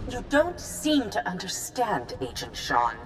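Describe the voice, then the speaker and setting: An actress playing a stern, cold chancellor in a video game, formal, commanding and condescending. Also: female voice, mid-range voice, wildly swooping pitch, measured pace. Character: imperious female government chancellor, stern and cold. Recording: long hall reverb, music behind.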